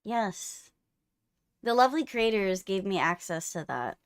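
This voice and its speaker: A woman's voice: medium pitch, sing-song, measured pace, clean tone, dry recording. A woman hosting a livestream in casual chat, teasing her co-host playfully, close on the mic.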